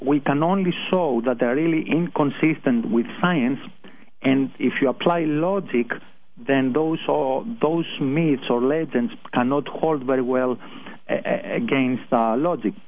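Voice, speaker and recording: A man with a Greek accent, a guest on a radio show, answering hesitantly with many pauses and restarts. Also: mid-range voice, a little hiss or hum. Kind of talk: phone-in interview. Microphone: telephone. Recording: tinny phone line.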